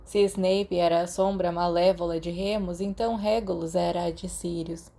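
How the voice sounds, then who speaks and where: Mellow Brazilian woman narrating a story. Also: fairly low pitch, steady tone, normal speed, vocal fry. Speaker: audiobook narrator. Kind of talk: scripted fan fiction narration.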